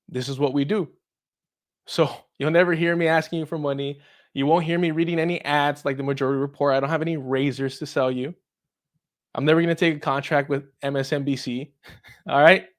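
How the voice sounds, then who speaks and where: A man hosting a casual political commentary show in an irreverent, sweary, chuckling manner. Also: fairly high pitch. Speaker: host.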